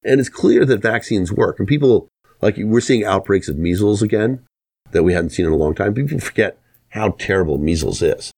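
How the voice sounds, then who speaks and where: Talk radio host: man with a deep register, opinionated delivery, leans on rhetorical questions. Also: lively intonation, a little fast, creaky, darkish tone, husky.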